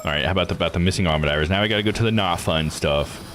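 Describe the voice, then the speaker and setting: Deep-voiced man chatting over a game. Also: racing, fairly bright tone, slight background noise. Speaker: streamer. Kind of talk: casual game playthrough commentary.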